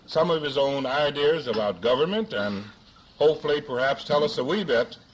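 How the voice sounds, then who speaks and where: A man hosting a forum, introducing a speaker in a formal but jocular way with deliberate, halting phrasing, on an archival 1970s recording. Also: mid-range voice, lively intonation, slight background noise, rough recording. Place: live luncheon forum before an audience.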